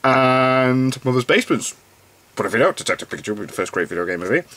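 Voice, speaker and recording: A man reacting casually as host, in an excitable, gushing fan manner. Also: mid-range voice, fast talker, no room sound.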